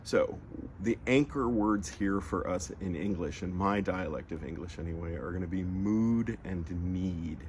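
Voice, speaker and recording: A man teaching a lesson, patiently explaining sounds and drawing out each one slowly as he demonstrates it. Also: deep voice, darkish tone, slight background noise. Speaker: language teacher.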